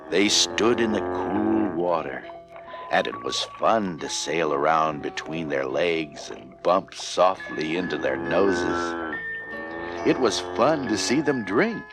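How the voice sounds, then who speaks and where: A man narrating a children's story from a script, with music playing behind him. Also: low voice.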